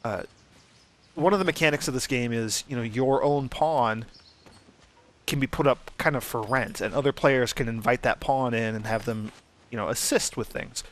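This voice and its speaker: A man's voice: mid-range voice, normal speed, fairly bright tone. A man hosting a Let's Play, giving casual, easygoing commentary and explanations.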